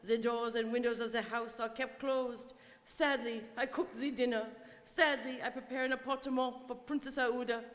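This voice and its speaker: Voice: medium pitch, flat delivery, moderate pace, a bit bright, lightly acted. In a very reverberant hall, a devoted valet played by an actor speaks. The manner is formal and deferential, with an anxious, mournful devotion to the master, in old radio-play style. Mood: mournful, worried. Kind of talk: stage radio drama acting.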